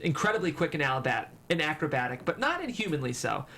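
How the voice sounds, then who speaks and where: A man with a slightly high voice narrates a script at a table read. He reads out scene headings and stage directions over faint background noise. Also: very animated, fast talker, bright, forceful tone.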